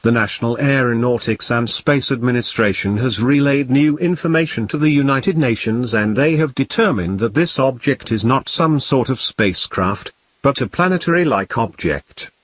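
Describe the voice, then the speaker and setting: A man playing a calm, grave emergency broadcast announcer, reading in a formal, measured and solemn way. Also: mid-range voice, lightly acted. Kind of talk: scripted emergency broadcast narration.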